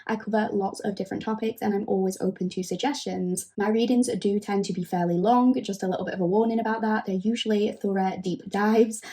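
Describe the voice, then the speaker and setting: A woman recovering from a cold, gently and thoroughly introducing a tarot reading. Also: mid-range voice, steady tone, a little fast, hardly any pauses. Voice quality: husky. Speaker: tarot reader.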